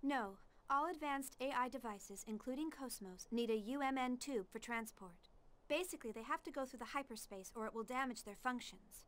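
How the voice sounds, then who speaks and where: Young female scientist played by a woman, fairly high voice, earnest and precise, video game cutscene style. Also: normal speed, a bit bright, lightly acted. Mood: inquiring, technical. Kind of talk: English-dubbed video game acting.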